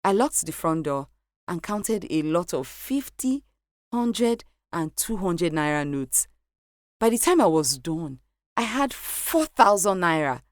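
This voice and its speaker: A young woman with a Nigerian accent narrating a scripted personal story in a lively, animated way. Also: on the low side, slow, dry recording, clean studio sound. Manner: lively, animated delivery with light laughs. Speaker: podcast narrator.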